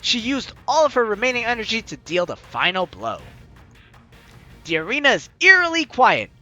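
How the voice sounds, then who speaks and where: A man with a strikingly high, bright voice reads a visual novel aloud as a let's play host. His delivery is theatrical and dramatic, over background music. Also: wildly swooping pitch, moderate pace, dull audio. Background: game music.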